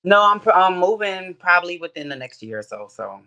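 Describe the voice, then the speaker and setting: A man's voice: high voice, sing-song, a little fast, bright, forceful tone, clean tone, low-quality recording. A man in his twenties from New Orleans, a guest on a dating show, talking in a laid-back, slangy way that often trails off. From New Orleans, USA. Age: 20s. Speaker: guest contestant. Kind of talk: casual chat.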